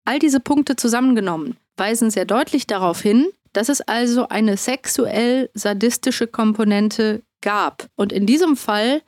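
A woman's voice: fairly high pitch, a little slow, few pauses, a little mellow, dry recording, studio quality. A woman co-hosting a podcast, giving explanatory commentary on true crime as an expert.

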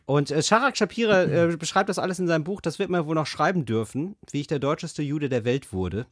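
A man's voice: a little high, expressive, a little fast, dry recording, clean studio sound. A man in his thirties co-hosting a podcast, casually retelling a book as a chatty storyteller with lots of fillers and restarts. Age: 30s. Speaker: podcast co-host.

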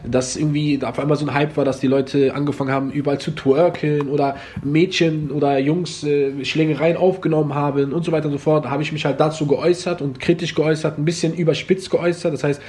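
A man's voice: a little high, flat delivery, fast talker, few pauses, darkish tone. A man born and raised in Germany, a guest telling stories in a casual interview, laid-back and rambling with lots of fillers. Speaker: podcast guest, former online comedian.

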